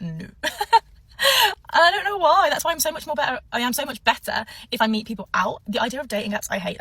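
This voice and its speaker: A woman's voice: medium pitch, fairly bright tone, slightly rough, no echo. A woman talking casually to camera, chatty and laughing often. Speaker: social media poster.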